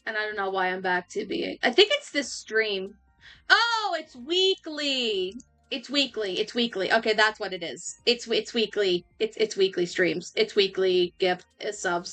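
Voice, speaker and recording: A young woman livestreamer chatting casually, laughing often and rambling with restarts, her voice going a little hoarse. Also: on the high side, pushed and bright. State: losing her voice. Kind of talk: casual chat.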